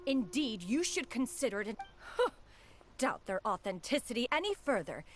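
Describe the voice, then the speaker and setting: An actress playing a haughty, proud master in a video game, speaking in a formal, imperious, archaic way. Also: female voice, a little high, sing-song, moderate pace, faint music behind. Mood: haughty, indignant. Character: haughty, proud adept woman, master to a young apprentice. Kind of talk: scripted video game voice acting.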